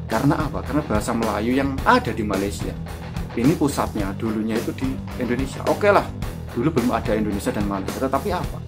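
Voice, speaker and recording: A man's voice: mid-range voice, animated, quick pace. A man with a thick Javanese accent who hosts a channel, giving commentary that lectures and asks rhetorical questions. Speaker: YouTube channel host. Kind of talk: commentary after a reaction clip. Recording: music playing underneath. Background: guitar music.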